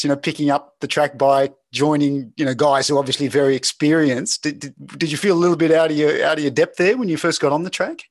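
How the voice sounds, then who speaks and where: Chatty Australian interviewer, dry sound. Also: male voice, fairly high pitch, no echo. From southeast Australia. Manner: chatty, long winding questions, stammers. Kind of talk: podcast interview.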